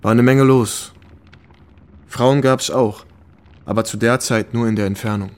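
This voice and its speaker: An actor playing a weary American war reporter who reminisces candidly in a radio drama. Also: male voice, mid-range voice, fairly even intonation, a little slow, clean tone, close to an everyday voice. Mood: reflective.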